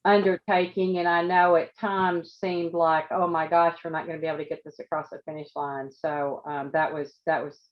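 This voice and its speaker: Woman host, lowish voice, supportive and rambling, lo-fi video call sound. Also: little pitch movement, normal speed, hardly any pauses. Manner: encouraging, repeats words as she thinks. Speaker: business coach, host. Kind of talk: interview host, casual.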